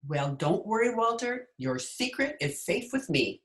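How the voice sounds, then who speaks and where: A woman playing a quick-witted, sardonic schoolteacher in an old-time radio comedy, recorded remotely in a room. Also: a little high, sing-song. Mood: wry, indulgent. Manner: dry, sardonic. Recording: roomy echo, remote video-call recording.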